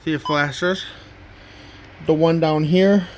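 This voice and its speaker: A man giving a casual home video walkthrough as a hobbyist, haltingly, often trailing off and repeating words, recorded indoors on a handheld camera. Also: a little high. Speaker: hobbyist showing a weather radio collection. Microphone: handheld camera microphone. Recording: steady background noise, handheld home camera.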